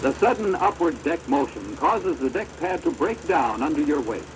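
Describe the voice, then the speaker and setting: An American man giving formal, measured instructional narration for a training film, on a deteriorated old projector soundtrack. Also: on the high side, sing-song, noisy background.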